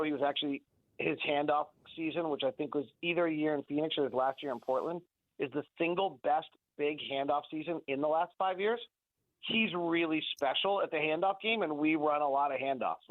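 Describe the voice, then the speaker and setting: A man's voice: on the high side, fairly quick, no room sound. A man giving conversational basketball analysis as a guest on sports radio, talking analytically and repeating words for emphasis. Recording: thin phone-like band-limited line.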